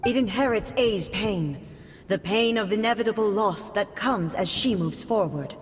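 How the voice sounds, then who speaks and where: Brief: woman voicing a stern regal warrior goddess, mid-pitched, formal and measured, solemn delivery, faint hiss. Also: close to an everyday voice. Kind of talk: video game cutscene dialogue.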